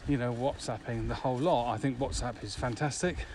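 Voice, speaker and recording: Male podcast co-host, average pitch, informal chat, open-air recording with faint background noise. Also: fairly quick, a little mellow. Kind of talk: casual chat. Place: outdoors, walking in the countryside. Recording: outdoor walking recording with ambient noise.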